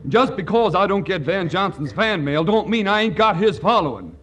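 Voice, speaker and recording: A man's voice: high-pitched, sing-song, normal speed, fairly bright tone, lo-fi sound. An actor playing a vain, dim cowboy movie star in a 1940s radio comedy, indignant and folksy in his talk. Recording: noisy 1940s radio broadcast.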